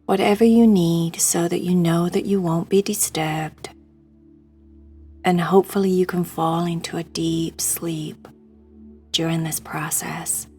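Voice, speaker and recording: A woman guiding a sleep meditation in a hushed, soothing, breathy voice that often nears a whisper. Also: fairly low pitch, steady tone, measured pace, soft background music. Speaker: meditation guide.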